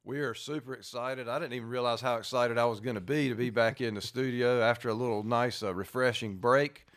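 A man co-hosting a talk show, speaking in a relaxed, slightly halting way. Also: mid-range voice. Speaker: co-host.

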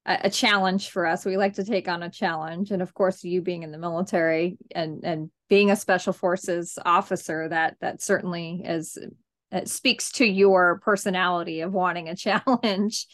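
A woman's voice: lowish voice, fairly even intonation, moderate pace, a bit bright. Chatty woman hosting a podcast. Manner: friendly, chatty, laughs easily. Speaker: podcast host. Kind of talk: casual interview.

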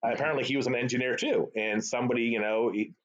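A man's voice: mid-range voice, racing. A middle-aged man from the Boston area with a Boston accent, Arabic his first language, chatting as a guest in an interview and often tagging on "right?". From Boston area, USA. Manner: animated, chatty, tag questions like "right?". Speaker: guest, sales executive. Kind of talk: casual storytelling interview. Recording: slightly dull lo-fi call audio.

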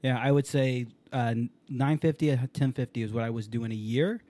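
A man's voice: mid-range voice, fairly quick, warm tone. A man in his forties hosting a podcast in loose, casual chat, swearing freely. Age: 40s. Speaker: podcast host.

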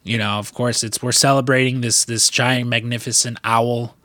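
A man's voice: medium pitch, flat delivery, normal speed, bright, forceful tone, no echo, studio quality. Congested man rambling on a podcast. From US Midwest. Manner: loose and profane, rambling with many fillers. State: congested with sniffles. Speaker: podcast host. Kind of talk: casual chat.

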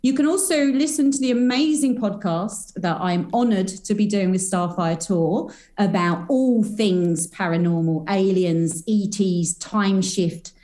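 A woman's voice: medium pitch, unhurried, hardly any pauses, dark tone. A woman, a guest on a podcast, chatting in a bubbly, rambling way full of spiritual talk. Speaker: podcast guest, energy healer. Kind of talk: casual podcast chat.

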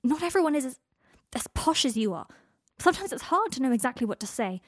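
A woman's voice: high-pitched, sing-song, fast talker, no room sound, clean studio sound, pitched above the performer's own voice. A woman voicing a stubborn young man who is angry and desperate, talking bluntly and raising his voice. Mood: yelling.

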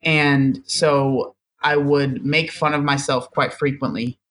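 Young male guest, mid pitch, chatty, self-deprecating, laughs easily. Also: steady tone, slow, fairly bright tone. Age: young adult. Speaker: podcast guest. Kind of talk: casual storytelling interview.

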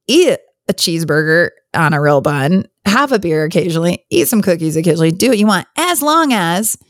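A hoarse woman hosting a podcast, talking in a candid, chatty, rambling way on her own. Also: mid-range voice, no echo, studio quality. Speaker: podcast host. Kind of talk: casual solo podcast chat.

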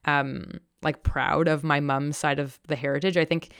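A woman's voice: deep voice, fairly even intonation, moderate pace, fairly bright tone, smooth, no room sound, clean studio sound. A young adult woman chatting casually and candidly, poking fun at herself with plenty of fillers. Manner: candid, self-deprecating, lots of fillers. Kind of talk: casual chat.

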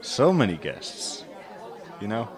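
A man's voice: fairly low pitch, big pitch swings, takes it slowly. A man hosting a podcast live, bantering casually in a noisy room with an audience. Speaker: podcast host. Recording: off-mic, with music and crowd noise.

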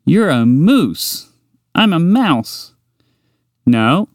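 A man voicing a small, excitable, chatty mouse in a bedtime story, eager and bubbly. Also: a little high, no room sound, studio quality, pitched above the performer's own voice, exaggerated voice. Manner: eager, bubbly, quick to laugh. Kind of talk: storybook character voice.

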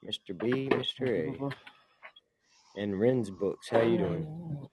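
A man's voice: medium pitch, expressive, slow pace, very noisy. A man hosting a podcast in a casual chat, rambling and laid-back, swearing freely and laughing often. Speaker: podcast host.

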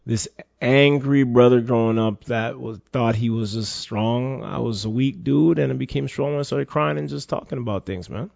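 A man's voice: mid-range voice, moderate pace, gravelly, hardly any pauses, a little mellow, no echo, lo-fi sound. A Jamaican man guesting on a casual podcast, talking earnestly and from the heart.

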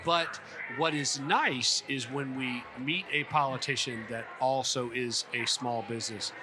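A man hosting an on-location interview, talking in a folksy, effusive and halting way. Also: medium pitch. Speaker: podcast host. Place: busy conference venue at a hotel. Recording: clear background noise on location.

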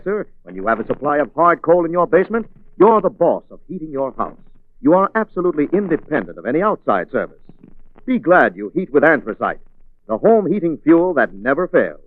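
A male radio announcer reading scripted copy in an emphatic, declamatory 1940s style. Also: medium pitch. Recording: muffled vintage 1940s radio recording.

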